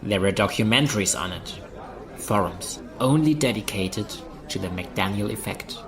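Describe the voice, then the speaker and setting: A blunt, fatalistic man played by a male actor with a fairly low voice talks over a busy crowd. He is flippant and cynical, a doomsday rumor-monger in an audio drama. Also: lively intonation, moderate pace, natural voice. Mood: earnest, insistent.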